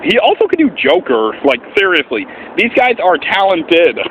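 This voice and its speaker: A man talking casually and earnestly in a vlog as an amateur voice actor, with an animated, swooping delivery. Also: high voice, moderate pace. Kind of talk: casual vlog explanation with voice demonstrations. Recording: muffled, faint background noise.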